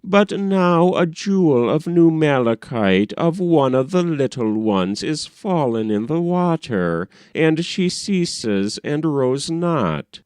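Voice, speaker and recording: Man reading as an ancient king, formal, commanding, lively swings in pitch, storybook, dry sound. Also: slow pace, hardly any pauses, smooth, no room sound. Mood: stately.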